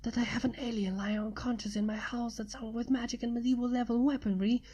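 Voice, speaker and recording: A woman's voice: a little high, level tone, quick pace, mellow, natural voice. A woman voicing a stressed, urgent woman writer with a secret in a story reading. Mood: urgent hissing. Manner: urgent, hissing and pleading.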